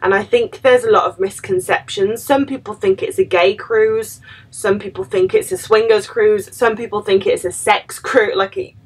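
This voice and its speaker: A woman's voice: mid-range voice, lively intonation, normal speed, slightly rough. A woman in her thirties, a former cruise ship worker, casually telling stories to camera in a chatty way, full of "like" and quoted asides, from a small room at home.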